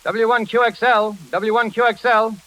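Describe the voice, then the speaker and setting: An actor playing a brisk, slangy engineer who frets over his friend in a 1940s radio drama. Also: high-pitched, level tone, lightly acted. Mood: anxious, urgent. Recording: noisy, crackling vintage radio recording.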